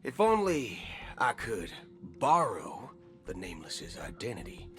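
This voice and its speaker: A space cowboy with a low-pitched Southern American drawl. He is folksy and swaggering, a theatrical video game gunslinger. Music plays behind his lines. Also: huge pitch swings, measured pace. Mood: earnest. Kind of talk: video game character acting.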